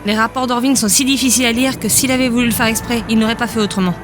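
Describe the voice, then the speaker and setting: Woman acting an exasperated, quick-talking female official. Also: on the high side, fairly even intonation, over music, close to an everyday voice. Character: irritable, overworked, sharp-tongued woman official. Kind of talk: audio drama dialogue.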